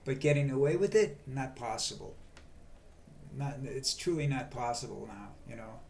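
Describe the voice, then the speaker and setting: An older man talking on in a slow, monotone ramble, stumbling over restarts and fillers. His pitch sits in an ordinary middle range, and a faint hiss of noise runs under the recording. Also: vocal fry. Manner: halting, many fillers and restarts. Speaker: vlog host. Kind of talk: rambling monologue.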